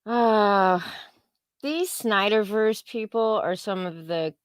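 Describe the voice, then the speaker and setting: A woman's voice: medium pitch, slow, clean tone, no room sound, studio quality. A woman co-hosting a casual live-stream, giving opinionated commentary in a wry, sarcastic manner, often trailing off in fragments. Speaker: co-host of a comics commentary show.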